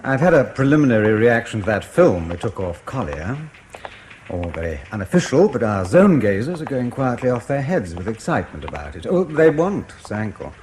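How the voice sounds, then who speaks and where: A man's voice: medium pitch, big pitch swings, normal speed, a little mellow, a little hiss or hum, close to an everyday voice. A man playing a suave intelligence interrogator in a radio drama, clipped, polite and understated, with a mild needling edge. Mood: brisk, satisfied.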